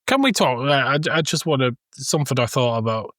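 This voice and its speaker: A man co-hosting a casual film discussion, making his points in a halting way. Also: mid-range voice, very animated, fairly bright tone, no echo, studio quality. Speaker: podcast co-host.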